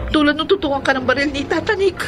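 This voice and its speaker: A nervous, scheming young-sounding female accomplice acted by a woman in a radio horror play. Her voice is high and fretful, dramatically delivered over loud music. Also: quick pace, natural voice. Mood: anxious, wary. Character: frightened, scheming woman accomplice creeping through a house.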